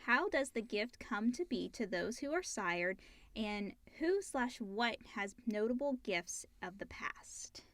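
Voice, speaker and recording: A woman's voice: on the high side, lively intonation, slow, a bit bright, no echo. A woman co-hosting a casual chat, talking and reading out questions. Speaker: co-host reading listener questions.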